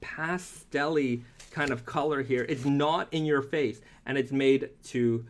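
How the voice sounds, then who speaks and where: A man's voice: medium pitch, unhurried. A man chatting casually as a live stream host, talking through products in a rambling, easygoing way.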